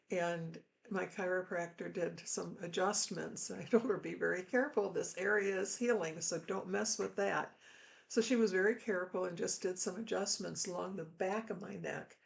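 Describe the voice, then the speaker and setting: A middle-aged woman pastor, hoarse after throat surgery, giving a candid, chatty and reassuring health update to viewers. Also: on the low side. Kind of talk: casual health update vlog.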